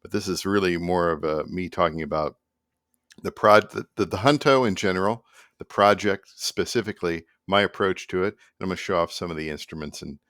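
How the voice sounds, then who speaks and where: A man's voice: sits low, brisk, a bit bright, no room sound, studio quality. A middle-aged man talking casually and loosely to camera as a musician, rambling in an easygoing way. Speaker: musician presenting own project. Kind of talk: casual behind-the-scenes vlog talk to camera. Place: small indoor home room at a computer.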